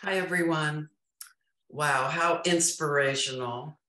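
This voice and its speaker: Female board member closing out a webinar, bright and formal, echoey room on the call. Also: low-pitched, slow. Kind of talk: closing remarks. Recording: roomy echoey video call audio.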